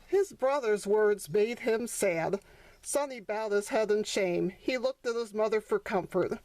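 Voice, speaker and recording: A woman's voice: mid-range voice, a little slow, darkish tone. A woman reading her own children's book aloud as a storybook narrator.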